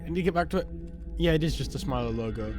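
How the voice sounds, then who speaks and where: A man's voice: on the high side, animated, fast, darkish tone, faint music behind. A man giving casual, exclamatory and incredulous live reaction commentary as host of a reaction video.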